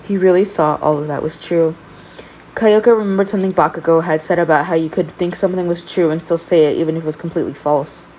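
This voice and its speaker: A woman reading fan fiction aloud in an expressive amateur storytelling style. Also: low voice, fast talker, a little hiss or hum, poor audio.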